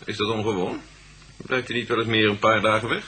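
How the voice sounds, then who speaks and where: An actor playing a businesslike, probing chief inspector in a Dutch radio police drama, questioning and giving orders. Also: male voice, fairly low pitch, level tone, brisk, sharp tone.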